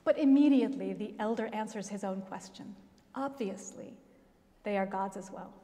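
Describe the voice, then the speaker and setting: A middle-aged woman pastor preaching a sermon at a pulpit, telling the story expressively with dramatic pauses. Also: mid-range voice, mellow. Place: large church, at a pulpit. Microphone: gooseneck pulpit mic. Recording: long church reverb.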